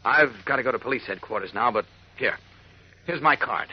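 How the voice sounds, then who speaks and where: An actor playing an earnest, level-headed newspaper reporter with a husky voice in a 1940s radio drama. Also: male voice, mid-range voice, brisk, natural voice. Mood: sober, resolved. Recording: muffled vintage radio recording.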